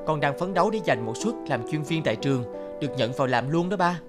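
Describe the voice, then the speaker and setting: A man's voice: on the high side, lively intonation, fast talker, close to an everyday voice. A man voicing an earnest, guilt-ridden young researcher and devoted son in a cartoon. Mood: hopeful. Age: young adult. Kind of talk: cartoon voice acting. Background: music.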